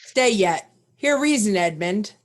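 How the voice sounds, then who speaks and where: A woman playing a stern, righteous duke in Shakespearean reader's theatre, with a measured, formal and commanding delivery. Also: medium pitch, lively intonation, natural voice. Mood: accusing, resolute. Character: stern, righteous Duke of Albany, nobleman commanding victorious army.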